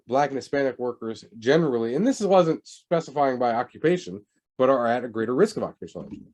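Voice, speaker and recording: A man lecturing on public health in a lively, conversational way, often asking rhetorical questions. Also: medium pitch. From United States.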